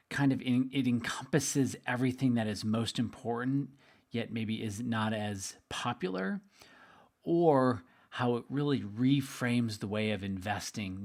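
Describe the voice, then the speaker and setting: A middle-aged man with a slightly hoarse, tired voice, hosting a live webinar in an upbeat, chatty way and talking straight to his listeners. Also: fairly low pitch. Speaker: investment trainer hosting a webinar.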